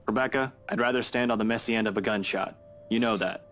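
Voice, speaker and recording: A man's voice: lowish voice, fairly even intonation, fast talker, a bit bright, natural voice. An actor playing a guarded, blunt young man with a terse, dry delivery in a visual novel game. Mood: dry, reluctant.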